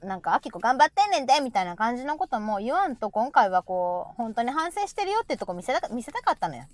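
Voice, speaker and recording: A middle-aged woman with a Kansai accent, chatting casually and telling everyday stories in an animated, talkative way as a stream host. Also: a little high, quick pace, no room sound, soft background music. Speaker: live audio stream host. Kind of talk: casual chat.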